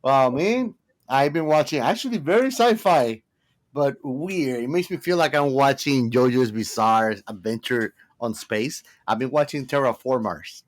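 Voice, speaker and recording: Male podcast co-host, mid pitch, casual chat, slangy, informal. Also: a little slow.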